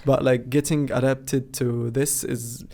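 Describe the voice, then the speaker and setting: A male podcast host with a warm, mellow voice that stays level and even. He talks in a relaxed, chatty way, dropping in "like" as he goes. His pitch sits in the middle. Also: unhurried, creaky. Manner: relaxed, chatty, frequent "like" fillers. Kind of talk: casual podcast interview.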